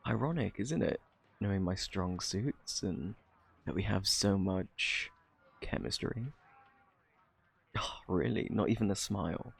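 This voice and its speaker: A man with a British accent acting out a flirty, cocky thief under interrogation in an ASMR roleplay, teasing and sarcastic in a husky, gravelly drawl. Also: low voice, heavily acted. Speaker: audio roleplay performer.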